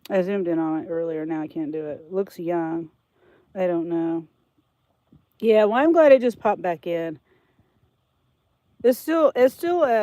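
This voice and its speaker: A woman's voice: on the low side, darkish tone, low-quality recording. An older woman vlogger with a congested head cold, chatting in a rambling, thinking-aloud way and often restarting her sentences. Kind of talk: casual rambling chat to camera.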